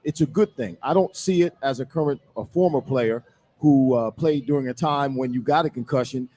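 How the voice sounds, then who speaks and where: A man's voice: medium pitch, fairly quick, warm tone. A middle-aged man, a former player on a sports talk panel, emphatic and repeating phrases for weight.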